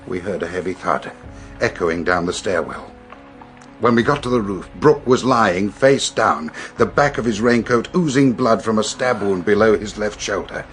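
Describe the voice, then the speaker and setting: An actor with a British accent playing a grave police superintendent, telling his story in a measured radio drama style. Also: lowish voice, animated, a bit bright, soft background music. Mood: grave, intent. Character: grave male police superintendent recounting a strange murder. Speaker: actor in a radio detective play. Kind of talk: British radio drama acting, recounting events.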